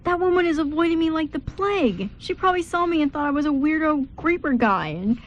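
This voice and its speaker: A woman voicing an insecure male thief and best man, nervous and halting, in an amateur radio play. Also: sits high, lively intonation, lo-fi sound, a little hiss or hum. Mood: anxious. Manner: nervous, halting, self-doubting.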